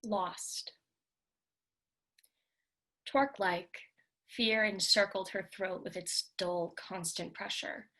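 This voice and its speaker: A woman giving a measured, dramatic reading of a literary novel, in the style of an audiobook. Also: mid-range voice, steady tone, pushed and bright. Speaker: reader of literary fiction.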